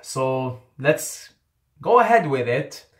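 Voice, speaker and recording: A young man from Qatar, first language Arabic, talks in a loose, candid vlog manner, circling back and repeating himself as he remembers. His voice sits at an ordinary middle pitch for a man. The room around him rings with noticeable echo. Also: big pitch swings, takes it slowly. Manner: rambling, candid, swears casually, repeats phrases. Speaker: YouTuber. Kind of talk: casual vlog storytelling. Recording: echoey room.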